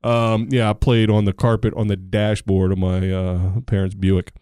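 A middle-aged man from the United States trading jokes as a podcast co-host, his talk sarcastic and wandering off on tangents. His voice sits a bit low and sounds dark and mellow, in a dry, close setting with no room echo. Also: flat delivery, moderate pace, clean tone. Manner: joking, sarcastic, rambling. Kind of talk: casual chat.

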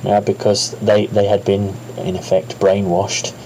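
Halting man with a low voice. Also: completely flat delivery, dark tone, slight background noise, rough recording. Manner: halting, many restarts. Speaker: interviewed expert. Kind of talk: unscripted interview answers.

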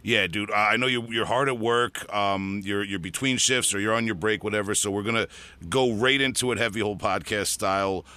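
Chatty male podcast host, bright voice, dry recording. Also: lowish voice, steady tone, brisk, smooth, no room sound. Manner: friendly, chatty, laughs easily. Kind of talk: casual interview.

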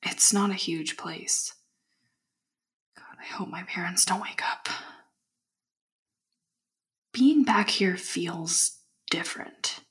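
A woman playing an unsettled young woman secretly confiding an audio diary in a halting, breathy half-whisper. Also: fairly low pitch. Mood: furtive, wistful. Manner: halting, hushed, introspective. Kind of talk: intimate found-footage audio diary acting.

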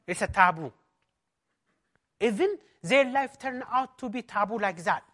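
A man's voice: sits high, expressive, unhurried, a bit bright. A man pastor from Ethiopia with an Ethiopian accent, giving an emphatic personal testimony as a conference talk in non-native English.

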